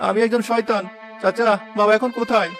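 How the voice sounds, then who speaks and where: A man's voice: high-pitched, fairly even intonation, brisk, fairly bright tone, soft background music. A man playing a proud, hot-headed young village weaver in a dramatic moral-story cartoon. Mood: remorseful, pleading.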